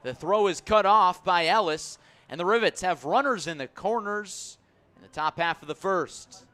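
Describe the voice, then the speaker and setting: A man calling baseball live on the radio as play-by-play announcer, measured between pitches and excitable on big plays. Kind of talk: live radio sports commentary.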